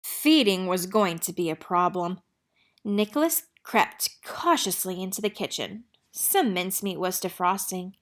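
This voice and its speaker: A woman narrating a children's picture book in an expressive storytelling manner. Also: on the low side, unhurried. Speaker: read-aloud narrator.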